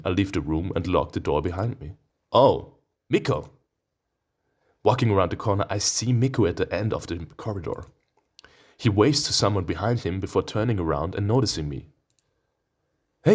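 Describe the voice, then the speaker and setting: A man reading a visual novel aloud, expressive and playful, breaking into casual, profane asides. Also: sits low. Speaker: let's player. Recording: music behind the voice.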